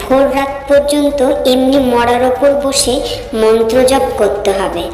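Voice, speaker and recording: An actress playing a mad, commanding ascetic woman of the cremation ground, abrupt and taunting, in an animated horror story. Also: high-pitched, measured pace. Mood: commanding, intense. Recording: echoey reverberant voice over faint music.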